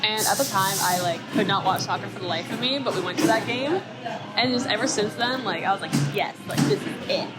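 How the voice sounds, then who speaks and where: Woman, casual chatty interview, mid pitch, loud music underneath. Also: brisk. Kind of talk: documentary interview.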